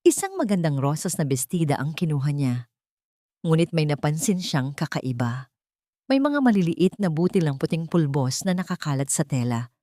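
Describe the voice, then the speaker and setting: A woman's voice: low voice, mellow, no echo, studio quality. A woman reading scripted, dramatic narration for a romance audio story.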